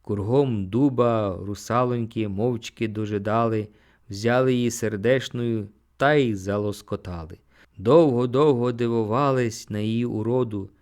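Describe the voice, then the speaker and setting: A man narrating an audiobook, reciting poetry in an expressive, theatrical way. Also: mid-range voice, unhurried. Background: music.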